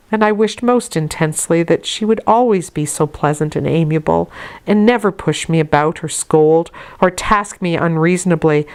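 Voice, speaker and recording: A woman reading a classic novel aloud as an audiobook, in a measured, deliberate storytelling manner. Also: on the low side, a little mellow. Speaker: audiobook reader. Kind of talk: scripted narration of a classic novel, read aloud.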